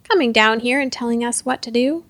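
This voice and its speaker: A woman's voice: fairly high pitch, a little fast, a bit bright, dry recording. A woman voicing a fat, headless ghost in a storybook, indignant and grumbling.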